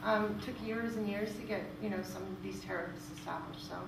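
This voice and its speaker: A woman's voice: medium pitch, fairly even intonation, brisk. A woman answering audience questions at an informal presentation, explaining things and repeating phrases for emphasis. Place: echoey room at a live presentation. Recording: echoey room, distant mic, clear background noise.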